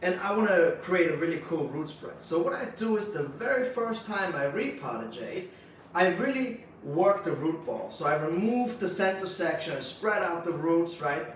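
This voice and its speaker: A man with a Swiss accent co-hosting a casual bonsai livestream, explaining techniques in a relaxed, practical way with lots of fillers. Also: on the high side, normal speed, a little hiss or hum. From Switzerland. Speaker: bonsai nursery co-host. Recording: echoey room, mic at a distance.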